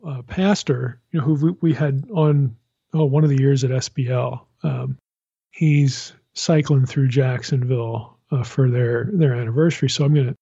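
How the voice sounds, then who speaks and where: Tired husky man rambling softly. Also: mid-range voice, no echo, dull audio. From Pennsylvania. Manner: rambling, many restarts and "you know" fillers. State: tired, low energy. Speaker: podcast host. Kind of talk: casual podcast chat. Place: sitting at a home desk.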